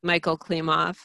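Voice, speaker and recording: A woman leading a group video call, asking people questions and pausing with many fillers. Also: lowish voice, vocal fry, sharp tone, no echo, clean studio sound. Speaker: group facilitator.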